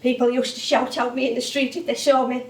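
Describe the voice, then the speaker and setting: An actress playing an older English music-hall comedienne on stage, wistful and chatty, reminiscing in a rambling way. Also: female voice, sits high, level tone, a little fast, natural voice. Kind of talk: theatrical stage monologue and dialogue. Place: theatre stage. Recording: echoey stage, distant microphone.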